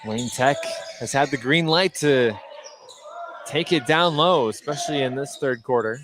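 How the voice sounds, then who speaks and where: A man's voice: medium pitch, a little slow. An American man giving live play-by-play commentary on a basketball game as the announcer. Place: courtside in an indoor gym. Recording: noisy gym with ball bounces. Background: gym noise, bouncing basketball.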